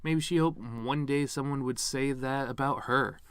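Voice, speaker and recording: A man's voice: medium pitch, clear, resonant tone, no room sound, natural voice. A man acting a bitter, sardonic grieving son. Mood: bitter, musing. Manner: sardonic, rambling, stand-up-like asides with pauses. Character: bitter, regretful actor son giving his mother's eulogy. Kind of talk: dramatic monologue reading.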